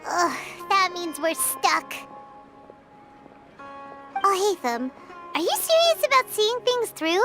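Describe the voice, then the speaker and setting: A tiny game fairy with an extremely high, squeaky child's voice. Her whiny, cute delivery swoops around expressively, with music clearly behind it. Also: big pitch swings, takes it slowly, long pauses, full character voice. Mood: puzzled, whiny. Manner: refers to herself in third person, whiny. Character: small childlike female fairy companion, cute, easily exasperated. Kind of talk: video game character acting.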